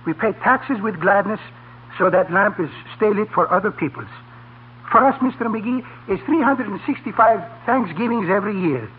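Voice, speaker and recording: Grateful immigrant restaurant owner, foreign accent, halting english, swingy high voice, old radio sound. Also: male voice, expressive, slight background noise. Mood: earnest, proud. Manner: simple, halting non-native phrasing. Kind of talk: 1940s radio comedy acting. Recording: muffled old 1940s radio recording.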